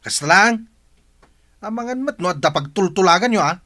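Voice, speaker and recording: A man's voice: sits high, animated, normal speed, pushed and bright. Guy playing blunt village man, ilocano accent, voice jumps all over, emphatic radio drama talk. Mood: jealous, bossy.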